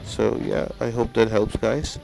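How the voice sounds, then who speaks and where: A man's voice: medium pitch, completely flat delivery, measured pace, gravelly, low-quality recording. A man who hosts a gaming channel, giving casual, instructional walkthrough commentary and talking directly to his viewers. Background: music.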